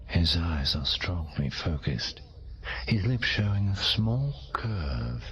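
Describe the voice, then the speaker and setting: A man's voice: sits low, very animated, slow pace, a bit bright, husky, muffled recording. A man with a synthetic voice reading short descriptive narration in an audiobook. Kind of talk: clipped present-tense descriptive narration. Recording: faint background noise.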